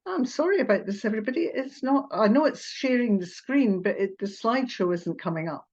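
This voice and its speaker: An older woman from Scotland with a Scottish accent softened by Australian tones, a therapist presenting a webinar with hesitant, apologetic talk full of fillers. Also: on the high side, animated, a little fast. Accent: Scottish, partly Australian. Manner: hesitant, apologetic, with filler sounds and little laughs. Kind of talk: online presentation. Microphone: video call. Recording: muffled video call audio.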